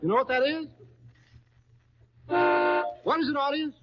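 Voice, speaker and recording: A man's voice: very high-pitched, measured pace, music in the background. A man hosting an old radio quiz, coaxing contestants along with repeated questions and exclamations. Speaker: quiz show host. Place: radio studio before an audience. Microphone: stage broadcast microphone. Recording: muffled, lo-fi 1939 radio broadcast.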